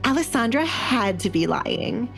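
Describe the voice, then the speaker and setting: A woman's voice: on the high side, very animated, a little slow, vocal fry, fairly bright tone, faint music behind, voice pushed up, heavily acted. A woman voicing a spoiled, jealous young noblewoman, petulant and insistent, in an audio drama. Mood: bitter, insistent.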